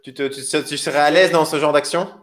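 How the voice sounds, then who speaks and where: Male co-host, slightly high voice, hesitant rambling phrasing, casual live discussion, roomy echo. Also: fairly even intonation, fast talker. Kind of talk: casual live interview chat. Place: indoors, sitting beside co-host. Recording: roomy, echoing live-stream sound.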